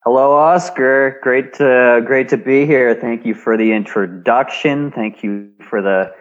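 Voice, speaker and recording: A man's voice: mid-range voice, unhurried, muffled recording. A Canadian man telling his story as an interview guest, often repeating and restarting words. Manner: frequent word repeats and restarts. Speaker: guest, speaker and engineer. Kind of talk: remote interview, reflective storytelling.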